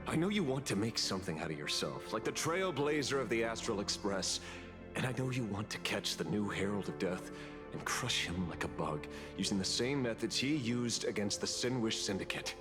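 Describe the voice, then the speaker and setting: An actor playing a cynical, menacing interrogator in a video game, with a measured, taunting, dramatic delivery. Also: male voice, lowish voice, animated, over music, close to an everyday voice. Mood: taunting, grim. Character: menacing, cynical male interrogator mocking a hero.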